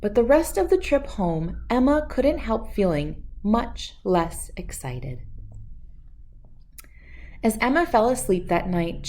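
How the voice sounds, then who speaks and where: A woman reading a storybook aloud for children as host, expressive and speaking to listeners as friends. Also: medium pitch.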